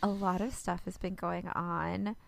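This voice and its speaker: A woman's voice: lowish voice, level tone, unhurried, vocal fry. A woman co-hosting a podcast in casual chat, talking with lots of fillers. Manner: chatty, laughs often, many fillers. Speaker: podcast co-host, author.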